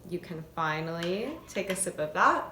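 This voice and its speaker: A woman leading worship with a guided, hands-on prayer, giving gentle, measured instructions with reflective pauses. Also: fairly low pitch, animated. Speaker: worship leader. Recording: roomy echo, noise, kitchen clinking.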